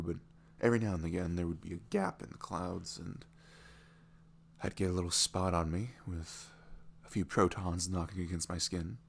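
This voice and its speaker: A man playing a smitten, vulnerable boyfriend in an intimate ASMR roleplay, musing haltingly in a voice that often softens toward a whisper. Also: heavily acted. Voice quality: low, creaky voice, often dropping toward a whisper. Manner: halting, musing, frequent "you know" and soft chuckles. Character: smitten, vulnerable young neurodivergent boyfriend meeting online love. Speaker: ASMR audio roleplay performer.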